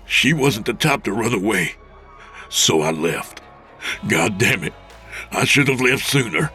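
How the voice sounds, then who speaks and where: A man voicing a frightened lowlife who stammers and whines. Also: animated, measured pace, pushed and bright, soft background music. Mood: scared. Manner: stammering, whining. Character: scared whiny adult male lowlife.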